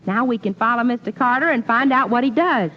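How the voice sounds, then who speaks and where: An actress playing a gullible, excitable, folksy woman in old-time radio comedy, full of exclamations. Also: fairly high pitch, brisk, lightly acted. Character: scatterbrained, excitable comic lady, folksy and gullible. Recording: noisy, muffled old radio recording.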